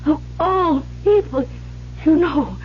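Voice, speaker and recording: An actress playing a terrified woman in a radio drama, pleading for her life in gasping, halting, tearful phrases. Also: high-pitched, a little hiss or hum. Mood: tearful, desperate. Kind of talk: old-time radio drama acting. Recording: dull lo-fi vintage radio recording.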